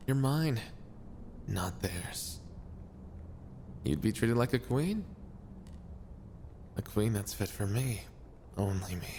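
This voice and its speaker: A man's voice: fairly low pitch, expressive, takes it slowly, long pauses, a little mellow, a little hiss or hum. Man acting a possessive, brash young yandere king. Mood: possessive, boastful. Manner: intense, intimate, boastful. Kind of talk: ASMR roleplay acting.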